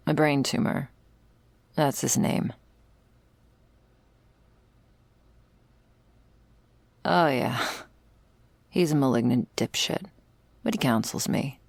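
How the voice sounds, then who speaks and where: Woman doing a jaded vampire servant lady for asmr roleplay, super creaky husky voice, slow n deadpan. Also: extremely low pitch, dry recording, heavily acted. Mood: wry. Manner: dry, deadpan, sarcastic, foul-mouthed. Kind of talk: intimate ASMR audio roleplay. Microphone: close, dry microphone.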